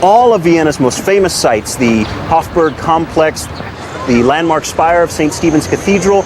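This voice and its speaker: A man's voice: on the high side, animated, normal speed, background noise. A man hosting a travel show, giving upbeat, scripted narration over a music bed.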